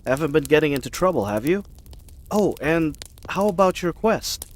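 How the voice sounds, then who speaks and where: A man's voice: fairly high pitch, lively intonation, normal speed, a little hiss or hum, natural voice. A man playing a friendly, bumbling knight in a fantasy audio roleplay, chatty and rambling, with awkward, flustered hesitations. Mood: chatty, curious. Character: friendly bumbling babbling young-hearted male knight, eager and awkward.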